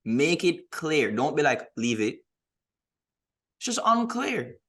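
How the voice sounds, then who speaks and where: Higher-voiced man, emphatic sports talk. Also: very animated, slow pace, fairly bright tone, husky. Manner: emphatic, opinionated, stresses points by repetition. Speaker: podcast co-host. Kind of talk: casual sports discussion.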